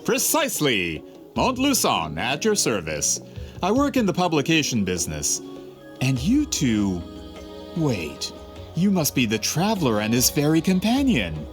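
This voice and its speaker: A formal, persuasive publisher, pitch swinging a lot; game dialogue. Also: male voice, wildly swooping pitch, measured pace, soft background music. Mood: delighted. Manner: formal, courteous, salesman-like. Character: polished adult male book publisher, courteous, persuasive. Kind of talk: video game voice acting.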